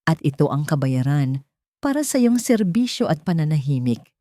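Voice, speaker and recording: A woman voicing a cold, emotionless male billionaire boss in a story, deadpan, commanding and businesslike. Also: low-pitched, moderate pace, no echo, studio quality.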